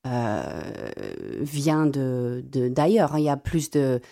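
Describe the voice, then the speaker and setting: A young South African woman whose first language is Afrikaans, a classical singer answering radio interview questions in a halting way with many repeated words. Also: very deep voice, animated, warm tone.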